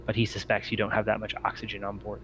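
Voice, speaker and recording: Low-voiced man game master, halting delivery. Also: monotone, a crowd in the background, poor audio. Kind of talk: narrating a tabletop roleplaying game. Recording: faint background noise.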